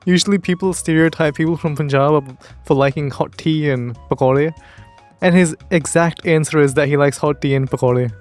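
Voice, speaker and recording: A man's voice: on the high side, steady tone, moderate pace, darkish tone, faint music behind. A man hosting a reaction video, commenting with wry, blunt amusement. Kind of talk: casual commentary over a video.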